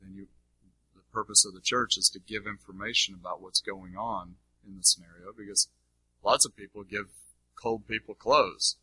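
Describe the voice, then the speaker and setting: A man's voice: on the low side, fairly even intonation, normal speed, bright, forceful tone, husky, no room sound, rough recording. A man giving unscripted teaching as he answers audience questions, explaining emphatically and checking in with his listeners.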